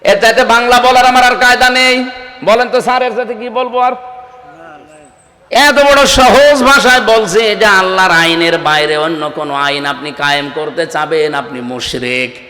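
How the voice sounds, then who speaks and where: Echoing, forceful male preacher. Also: a little high, fairly even intonation. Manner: exhorting, calls on listeners to answer louder; breaks into slow melodic Arabic recitation. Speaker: Islamic preacher. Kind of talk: fiery sermon to a live gathering. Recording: echoing PA sound with long reverb.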